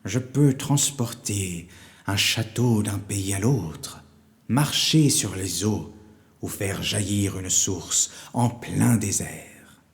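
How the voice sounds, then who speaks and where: A man voicing a wise, solemn old wizard in a storybook, speaking slowly, gravely and deliberately. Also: fairly low pitch, long reverb tail. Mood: mysterious. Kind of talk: storybook character voice.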